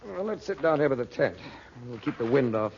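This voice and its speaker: Actor as a commanding magician in dull vintage radio. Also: male voice, mid-range voice, animated, brisk, a little hiss or hum, close to an everyday voice. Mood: genial. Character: calm, commanding magician uncle, kindly but secretive. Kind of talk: old-time radio adventure serial acting.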